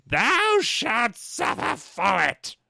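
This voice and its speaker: Menacing storybook enchantress, raised pitch, very slow and drawn out, angry a man acts her in parody. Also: huge pitch swings, gravelly, bright, forceful tone, no room sound, studio quality, heavily acted. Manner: slow, drawn-out, wailing threats. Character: angry, menacing fairy-tale enchantress woman. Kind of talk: parody audiobook performance.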